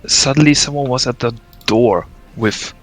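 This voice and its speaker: A man's voice: mid-range voice, normal speed, faint music behind. A man from Germany, joking and laughing easily as a stream co-host. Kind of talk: casual gameplay stream chat.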